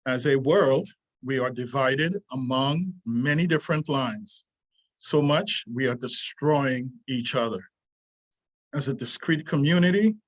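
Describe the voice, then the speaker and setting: An older man reads his own essay aloud. His voice sits at an ordinary middle pitch, and his delivery is earnest and deliberate, with pauses and lines picked up again. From Trinidad. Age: 60s. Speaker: martial arts teacher. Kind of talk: reading a prepared essay aloud.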